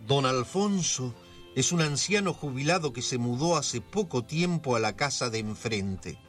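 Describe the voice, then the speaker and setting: An actor playing an intimate neighborhood storyteller, narrating a scripted radio drama in an unhurried way with a Buenos Aires accent. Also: male voice, medium pitch, animated, soft background music, lightly acted. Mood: storytelling. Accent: Argentine, Buenos Aires.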